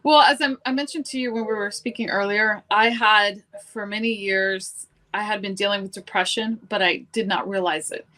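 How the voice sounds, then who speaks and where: A middle-aged woman from Cuba, a guest chatting casually in an interview, self-deprecating and lively in her storytelling. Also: mid-range voice, moderate pace.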